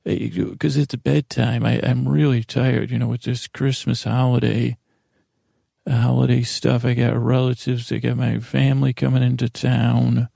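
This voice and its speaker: A man in his forties hosting a bedtime podcast, rambling haltingly and interrupting himself in a self-deprecating monologue. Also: mid-range voice, level tone, creaky, warm tone, dry recording, studio quality. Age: 40s. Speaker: podcast host.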